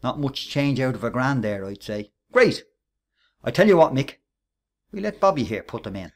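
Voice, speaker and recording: A man's voice: mid-range voice, lively intonation, normal speed, natural voice. An actor playing a scheming, menacing Irish workman and ringleader in a radio play, with a taunting, sarcastic, bossy manner. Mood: smug, bossy.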